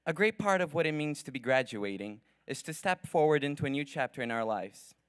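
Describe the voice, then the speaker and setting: A young man whose first language is Portuguese, giving an earnest, formal graduation speech from a podium at a ceremony, with measured pacing and dramatic pauses. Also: on the high side, animated, a bit bright, clear, resonant tone, no room sound, studio quality. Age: young adult. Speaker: graduating student speaker. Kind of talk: prepared commencement speech.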